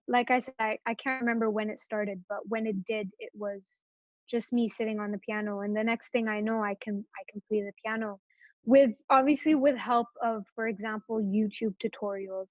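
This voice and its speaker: Young woman guest, from Morocco, halting and filler-heavy chat, slightly high voice, muffled close recording. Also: no echo. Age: young adult. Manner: hesitant, many fillers and restarts. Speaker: interview guest. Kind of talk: casual interview chat.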